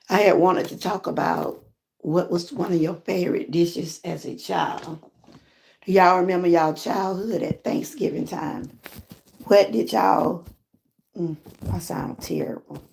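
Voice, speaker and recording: A Southern woman from Georgia with a hoarse voice, chatting casually with viewers on a live stream from home. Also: lowish voice, a little slow, long pauses, a little hiss or hum. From Georgia, USA. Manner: relaxed, chatty, greets viewers often. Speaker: lifestyle vlogger and channel host.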